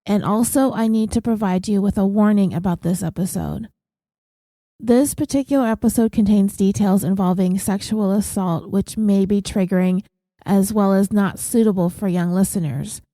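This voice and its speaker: A woman narrates her true crime podcast in a steady, even read. Her voice is a little nasal and stuffed up from congestion, with a mellow, warm tone. The recording is close and dry, with no room sound. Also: medium pitch, moderate pace, no echo. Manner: reads ads and listener names in an even, steady delivery.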